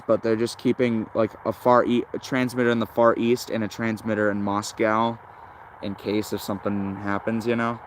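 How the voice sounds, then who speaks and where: A man with a fairly low voice hosts a casual live stream. He rambles in a halting, think-aloud way, like a keen hobbyist. There is faint noise behind his voice. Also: low-quality recording. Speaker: host of a shortwave radio listening stream.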